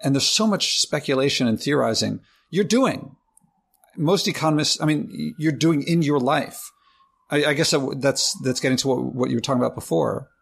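A man hosting a podcast interview, talking in a halting way with frequent restarts. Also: mid-range voice, lively intonation, creaky, breathy edge. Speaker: podcast host. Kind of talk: conversational interview.

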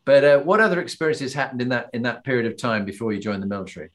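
A man's voice: mid-range voice, very fast. A man hosting an interview, asking questions with frequent restarts and "um"s. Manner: hesitant, restarts and "um"s. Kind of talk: casual interview conversation.

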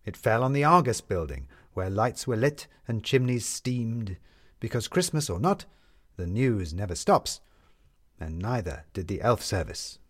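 A man narrating a scripted story in a measured, lyrical storytelling manner. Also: fairly low pitch, very animated.

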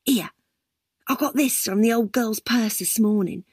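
A woman's voice: on the high side, unhurried, darkish tone, breathy edge, dry recording, studio quality. A woman voicing a sly young female carer who coaxes in a sweet, wheedling tone.

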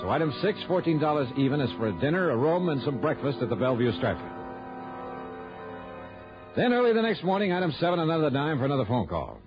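An actor playing a tough, skeptical insurance investigator in a radio detective drama, terse and wry, with an American accent. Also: male voice, a little high, very animated, quick pace, over music. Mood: brisk narration. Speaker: lead detective and narrator. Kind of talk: mid-century detective radio acting. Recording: dull old radio recording.

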